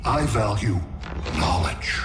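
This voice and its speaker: An actor playing a cold, superior alien collector of worlds in a video game, speaking in formal, detached, measured phrases. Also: male voice, on the low side, lively intonation. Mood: calm, superior. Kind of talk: video game cutscene acting. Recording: echoing voice under loud music.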